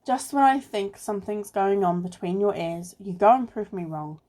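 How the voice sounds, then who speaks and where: A woman's voice: mid-range voice, animated, normal speed, dark tone, lightly acted. A woman playing a sensible, exasperated amateur sleuth in an amateur radio play, with a dry, sarcastic delivery. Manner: dry, sarcastic, matter-of-fact.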